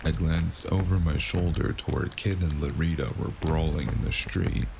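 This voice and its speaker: A man playing a world-weary, wry noir freelancer in a gravelly voice, narrating a cyberpunk fantasy audio drama in the first person. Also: extremely low pitch, expressive, noisy background, full character voice. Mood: dry, wry. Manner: wry, brooding, unhurried. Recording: constant loud music underneath. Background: loud music.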